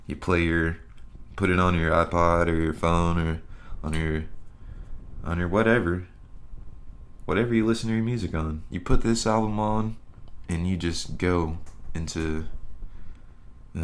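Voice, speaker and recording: A young man hosting a podcast, talking in a loose, rambling way and swearing casually. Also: sits low. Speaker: podcast host. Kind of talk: casual solo podcast chat.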